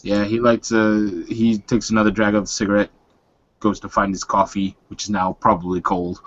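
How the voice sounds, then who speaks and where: A man with a fairly low voice narrates his character's actions casually in a roleplaying game. The sound is dull and very dry. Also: flat delivery, normal speed, no room sound. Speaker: roleplay player.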